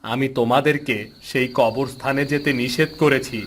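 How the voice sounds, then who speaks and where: A man's voice: medium pitch, steady tone, moderate pace, fairly bright tone, slight background noise, natural voice. Man playing cautious older uncle, earnest warnings, cartoon.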